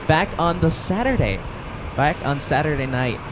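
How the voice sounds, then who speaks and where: Lively enthusiastic plane spotting host. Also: male voice, fairly high pitch, normal speed. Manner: enthusiastic, animated commentary. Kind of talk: live sportscast-style commentary. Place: outdoors beside an airport runway. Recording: outdoor feed with clear traffic noise.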